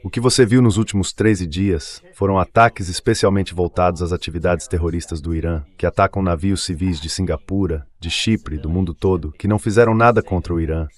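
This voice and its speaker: Man, deep pitch, dubbed political interview, official's statements. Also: brisk, few pauses.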